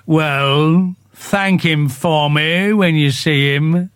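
A man's voice: fairly high pitch, fairly bright tone, clear, resonant tone, no room sound, studio quality. Guy putting on a mopey old donkey, drags every word out, super glum. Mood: mournful. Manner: slow, drawn-out, self-pitying. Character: gloomy old male donkey, slow and mournful.

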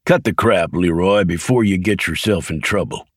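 A middle-aged small-town sheriff speaks in a plain, firm, no-nonsense way, as a male audiobook narrator voices him. The voice is low and even, and it is recorded close and dry. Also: moderate pace, no room sound. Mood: stern. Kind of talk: audiobook character voice.

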